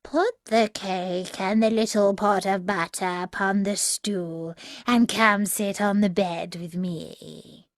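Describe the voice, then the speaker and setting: A woman voicing a sly, hungry storybook wolf with a drawn-out, wheedling, falsely sweet manner. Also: medium pitch, steady tone, dry recording, studio quality. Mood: coaxing. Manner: drawn-out, wheedling, faking a softer voice.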